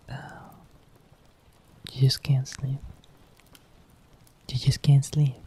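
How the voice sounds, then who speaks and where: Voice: mid-range voice, slightly rough, pitched above the performer's own voice, full character voice, rough recording. A streamer whispering an ASMR roleplay as a clingy, sleepy partner who coaxes someone back to bed. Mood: coaxing. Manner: soft teasing whisper.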